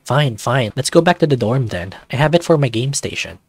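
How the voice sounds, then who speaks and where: A man's voice: mid-range voice, lively intonation, fairly quick, no echo. A laid-back young dragon chats casually and cheekily with his roommate, as a man voices him. The voice sits in an everyday middle register with a dry sound.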